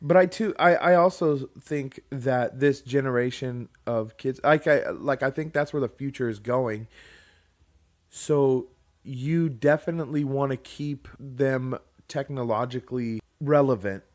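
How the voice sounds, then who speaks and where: A man in his thirties hosts a relaxed podcast, talking loosely and frankly. His speech rambles along with restarts and the odd curse word. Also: medium pitch, measured pace. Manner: rambling, frank, with mild swearing. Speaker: podcast host. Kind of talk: casual podcast chat.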